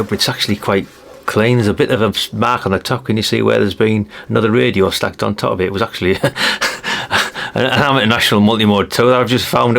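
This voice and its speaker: A British man with a lowish voice full of creak talks casually through a hands-on demonstration. He is chatty and rambling, often turning statements into questions as he hosts. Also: a bit bright. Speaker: host of a radio hobby channel. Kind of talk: casual hands-on product showcase.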